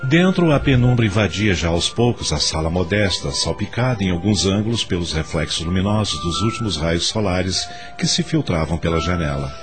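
Deep male narrator, dramatically varied delivery. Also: lively intonation, moderate pace, hardly any pauses. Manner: literary, solemn storytelling. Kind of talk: dramatic scripted radio narration. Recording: music behind the narration.

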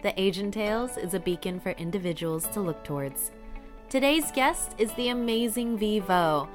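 A woman's voice: medium pitch, animated, normal speed, soft background music. A woman hosting a podcast interview, reading a scripted welcome and then chatting casually and laughing often. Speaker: podcast host.

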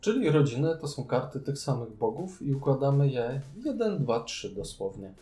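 A man's voice: medium pitch, sing-song, moderate pace, warm tone, reverberant, soft background music. A man co-hosting a board game podcast, chatting casually and adding his own comments. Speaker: podcast co-host.

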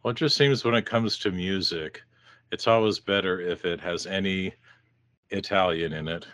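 Brief: relaxed male interviewer, low-pitched, dry close sound. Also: moderate pace, no room sound. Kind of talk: casual interview questions.

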